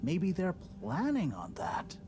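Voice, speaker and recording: A stubborn researcher who keeps defending a flawed model. The voice is low for a woman but swings wildly in pitch as the arguments heat up. Music plays clearly underneath. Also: huge pitch swings, slow, exaggerated voice. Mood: defensive.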